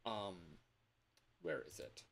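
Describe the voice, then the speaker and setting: Male streamer, lowish voice, unhurried pace, excitable and playful casual chat, exclaims often, external mic with noticeable background noise. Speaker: livestreamer. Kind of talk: casual livestream chat to viewers. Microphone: external microphone.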